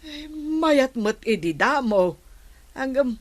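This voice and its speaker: An actress with an Ilocano accent playing a vain, distressed village wife in a radio comedy drama, whiny and plaintive. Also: female voice, high voice, expressive, slow pace, heavily acted. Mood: distressed, whining. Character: vain, distressed village wife who bought skin whitener.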